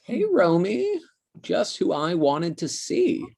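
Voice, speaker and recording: A woman's voice: lowish voice, huge pitch swings, slow pace, creaky, darkish tone, exaggerated voice. A woman playing a brash, nosy, immature adult freeloader in a comedy table read. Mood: needling.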